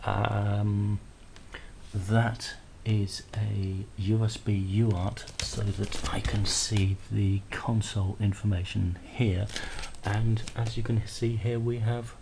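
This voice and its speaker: Halting, gravelly low-voiced man. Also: flat delivery. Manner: hesitant, halting, thinking aloud. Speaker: presenter of a hardware prototype demo. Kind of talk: casual unscripted tech demo commentary. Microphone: handheld camera microphone. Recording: noisy handheld camera recording with clicks.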